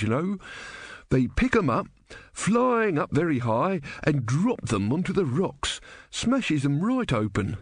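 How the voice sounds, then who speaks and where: A man's voice: on the high side, husky, no room sound, clean studio sound, pitched above the performer's own voice, heavily acted. A man voicing an elderly, long-winded know-it-all who talks in a boring, unstoppable drone.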